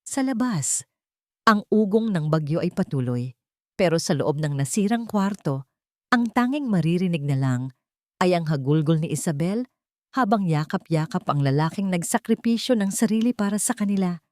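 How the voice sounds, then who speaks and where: Female storyteller, lower register, dry studio sound, dramatic scripted romance narration. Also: animated, normal speed, a little mellow, no room sound.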